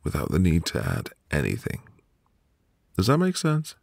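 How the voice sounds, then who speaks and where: A man playing a sweet, reassuring newlywed husband in an intimate ASMR roleplay, with a gravelly, breathy voice and a gentle manner. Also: deep voice, wildly swooping pitch, dry recording, clean studio sound, exaggerated voice. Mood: gentle, admiring. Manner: slow, gentle, soothing. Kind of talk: ASMR boyfriend roleplay, intimate soft delivery.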